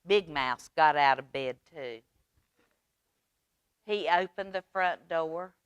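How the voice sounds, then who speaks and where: A woman reading aloud at a children's storytime, expressive and using simple phrasing for small children. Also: sits low, takes it slowly, clean tone, dry recording.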